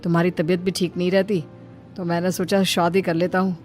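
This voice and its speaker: A woman's voice: on the low side, little pitch movement, brisk, a little mellow, faint music behind, voice pushed down, close to an everyday voice. A woman voicing a practical thirty-year-old son in a cartoon family drama, explaining in a casual, mildly exasperated manner.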